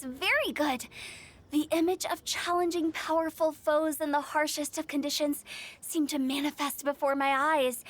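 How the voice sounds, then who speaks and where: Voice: high, teasing. Type: woman voicing a mischievous young woman. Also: natural voice. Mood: approving. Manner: teasing, singsong. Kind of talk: game cutscene voice acting.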